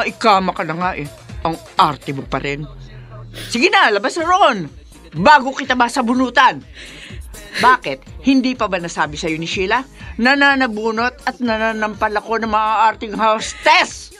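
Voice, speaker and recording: Brash, bullying madam, Filipino accent, lively swinging intonation, radio drama style. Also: female voice, fairly high pitch, moderate pace, soft background music. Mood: scolding, threatening. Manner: coaxing with clients, scolding and domineering with staff. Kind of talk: Philippine radio drama acting.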